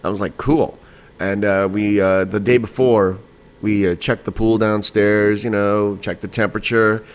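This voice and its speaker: A man's voice: fairly low pitch, level tone, measured pace. A man hosting a casual late-night podcast from home, a bit tipsy and a little nasal, rambling and laughing with lots of fillers and swearing. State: slightly tipsy. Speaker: podcast host. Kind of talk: late-night casual solo talk show.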